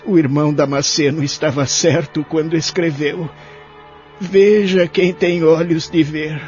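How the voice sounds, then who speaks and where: A male actor plays a lonely, elderly care-home resident in an audio drama, looking back with sorrow and regret. His voice sits fairly high for a man and is plaintive and reflective. The recording is a bit dull, with music underneath. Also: fairly even intonation, a little slow, darkish tone, lightly acted. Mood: sorrowful, reflective. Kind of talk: audio drama narration and dialogue.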